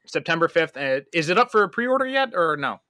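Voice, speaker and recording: Lively, bright-voiced man hosting a podcast. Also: fairly high pitch, brisk, no room sound, studio quality. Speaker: podcast host. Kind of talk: casual interview chat.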